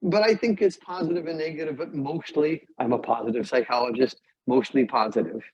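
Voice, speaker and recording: A man's voice: on the high side, fairly quick, darkish tone, a little hiss or hum. A man who is a native English speaker, lecturing as a psychology professor in plain, short, emphatic sentences. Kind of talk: academic lecture and discussion. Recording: dull, muffled lo-fi audio.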